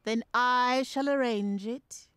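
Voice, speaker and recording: Woman acting a theatrical psychic lady, high voice, drawn out and portentous, coaxing, ghost story audio drama. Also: takes it slowly, a bit bright, smooth, no room sound. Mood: coaxing, confident. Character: theatrical female spirit medium, mysterious, coaxing and self-assured.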